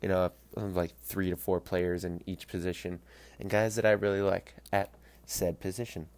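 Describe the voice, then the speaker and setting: Host, male, deep voice, nasal and stuffed up, loose rambling delivery with dry humor. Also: flat delivery, moderate pace, a little mellow. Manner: rambling, loses his thread and corrects himself mid-sentence. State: stuffy nose from allergies. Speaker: podcast host. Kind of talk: casual solo sports podcast.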